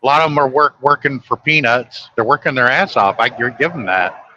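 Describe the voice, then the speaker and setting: A man's voice: medium pitch, expressive, brisk, pushed and bright, highs cut off. A man chatting casually late at night on a live stream, blunt and griping, swearing freely.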